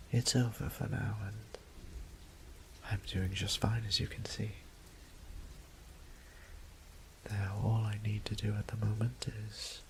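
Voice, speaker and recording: A man playing a gentle, apologetic old vampire priest in an intimate audio drama, speaking in formal, hesitant phrases with a breathy half-whisper. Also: lowish voice, slight background noise, low-quality recording. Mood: weary, reassuring. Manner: hesitant, formal old-fashioned wording, tender.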